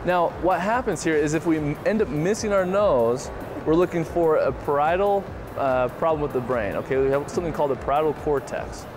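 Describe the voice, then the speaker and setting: A man's voice: a little high, brisk. A man in his thirties coaching people through simple tests and explaining them in an encouraging way, recorded on a lapel mic in a shopping mall. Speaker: TV host and doctor. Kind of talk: instructing and explaining to participants. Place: busy indoor shopping mall. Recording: background music and public bustle.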